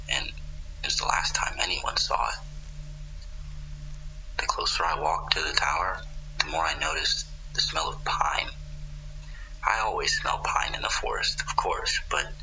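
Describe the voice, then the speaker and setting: A man playing a haunted fire lookout in a radio horror drama, recounting events in a halting, breathy, confessional monologue. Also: pushed and bright, heavily acted, low-quality recording. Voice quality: low, gravelly, breathy. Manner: halting, breathy, half-whispered. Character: haunted male fire lookout recounting his wife's eerie death.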